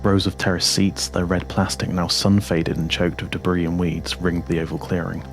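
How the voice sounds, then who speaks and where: A man with a deep voice narrates dark science fiction. His reading is measured and deliberate, like a literary audiobook, telling the story in the first person. Music plays clearly underneath him. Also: flat delivery. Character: introspective four-legged machine with a human pilot's mind.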